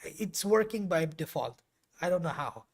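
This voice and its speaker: A man who grew up speaking Urdu, chatting casually through a live coding stream. He rambles a little and fills pauses with "uh" as he works and explains to his viewers. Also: on the high side, animated. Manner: chatty and rambling, with frequent fillers and self-corrections.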